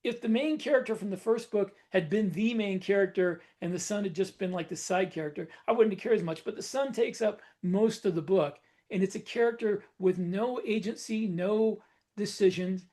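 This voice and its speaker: Dude with a pretty high voice ranting on a podcast, rambly, loses his words. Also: fairly quick, darkish tone. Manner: opinionated, rambling, searches for words. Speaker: podcast co-host. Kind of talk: casual podcast discussion.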